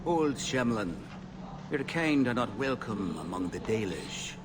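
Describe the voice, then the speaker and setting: A hostile, proud elf guard for a fantasy game. His stern voice is mid-pitched and swings expressively over clear background noise. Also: sing-song, unhurried. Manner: stern, threatening. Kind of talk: fantasy video game acting. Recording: noisy game capture.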